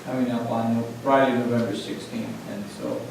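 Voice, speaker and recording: A man's voice: medium pitch, level tone, far from the mic, noisy background. Man reporting in an echoey meeting room. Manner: measured, frequent "uh"s. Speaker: school administrator giving a report. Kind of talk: spoken report at a board meeting. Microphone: distant room mic.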